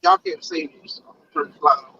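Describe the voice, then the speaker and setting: A man's voice: very high voice, wildly swooping pitch, brisk, creaky, sharp tone, a little hiss or hum. A man from Puerto Rico with a Southern US accent, telling stories in a casual video chat from a truck, laughing often and stammering as he restarts. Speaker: truck driver on a livestream. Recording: dull lo-fi sound.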